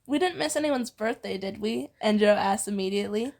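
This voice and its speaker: A woman's voice: medium pitch, a little fast, darkish tone. A young woman reading fan fiction aloud casually, giggly and often breaking off into banter. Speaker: amateur fan fiction reader and co-host.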